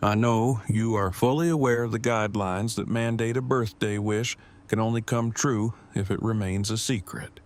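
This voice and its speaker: A man's voice: on the low side, fairly even intonation, normal speed, lightly acted. A man acting in an audio drama as a formal, precise man in his thirties who speaks in long, pedantic phrases and is devoted to his partner. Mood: earnest, precise.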